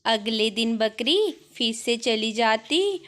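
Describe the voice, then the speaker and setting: A woman's voice: on the high side, expressive, takes it slowly, fairly bright tone. A woman narrating a scripted children's story as the storyteller.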